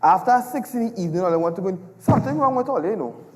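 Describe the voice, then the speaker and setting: A man's voice: high voice, expressive, very fast, a little mellow. A man playing a selfish, rum-loving Trinidadian husband in a theatrical stage comedy, exasperated and evasive. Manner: exasperated, exclamatory, dramatic. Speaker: stage actor. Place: large performance hall, on stage. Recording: echoey hall with long reverb.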